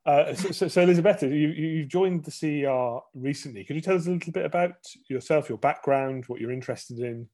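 A man's voice: a little high, fast talker, hardly any pauses, warm tone. A man hosting a policy podcast in a casual way, often stammering and repeating words as he asks his questions. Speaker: podcast host, research fellow.

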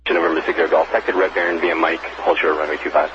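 Low voiced guy on ground control radio, talks fast and clipped, muffled sound. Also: level tone. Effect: aviation radio. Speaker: ground air traffic controller. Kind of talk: clipped procedural radio instructions. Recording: muffled aviation radio, clear static.

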